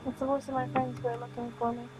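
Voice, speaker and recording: A woman streaming a visual novel, reading the text aloud with halting delivery and casual commentary. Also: on the high side, flat delivery, low-quality recording. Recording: music playing behind the voice. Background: music.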